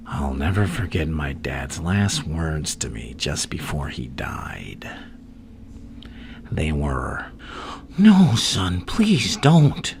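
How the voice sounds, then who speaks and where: Crazy evil clown villain, gravelly half whisper asmr, super slow and taunting, swings up and down a lot, some background noise. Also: male voice, very deep voice, heavily acted. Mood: morbidly amused. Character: menacing, gleefully insane adult male supervillain clown.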